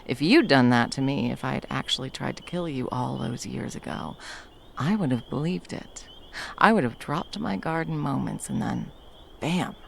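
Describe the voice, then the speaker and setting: A woman's voice: a bass voice, vocal fry, slight background noise, full character voice. A woman playing a battle-hardened monster hunter, violent yet a tender protective lover, in an intimate ASMR roleplay. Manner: intimate, sweary, teasing and soothing endearments. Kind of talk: ASMR roleplay, intimate scripted monologue addressed to the listener.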